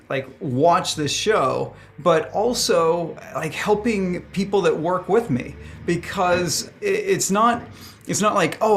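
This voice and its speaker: Upbeat, slightly high-voiced male host. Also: a bit bright, a little hiss or hum. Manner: upbeat, filler-heavy, talks in bursts. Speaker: host and business coach. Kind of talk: casual coaching call.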